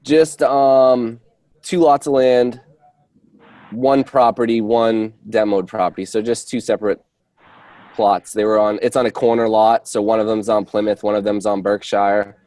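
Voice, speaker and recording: A man working as a real estate agent, giving an informal update on a work call in a hesitant, halting way with false starts. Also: fairly low pitch, level tone, darkish tone, dry recording. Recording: dry conference call audio.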